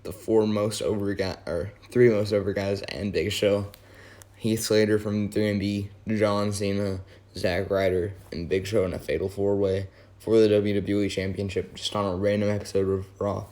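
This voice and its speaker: A hoarse young man, his voice worn from yelling, rambling casually through a wrestling talk with many fillers and self-corrections. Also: fairly low pitch, level tone, moderate pace. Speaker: wrestling video host. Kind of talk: casual fantasy-booking commentary.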